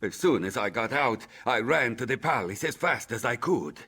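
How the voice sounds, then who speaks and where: A man's voice: mid-range voice, lively intonation, normal speed, bright, forceful tone, husky, full character voice. A man playing a frightened soldier in a fantasy video game, reporting in an urgent, dramatic way. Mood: shaken.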